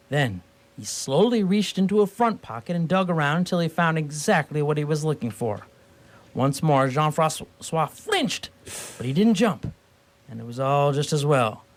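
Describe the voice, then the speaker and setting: A man narrating a scripted radio play as a theatrical, deliberate storyteller. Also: on the high side.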